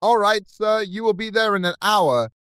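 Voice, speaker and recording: A man's voice: high voice, normal speed, fairly bright tone, clear, resonant tone, no room sound, pitched above the performer's own voice. A man voicing a reluctant, muttering working-class cab driver in an audiobook. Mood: agreeable.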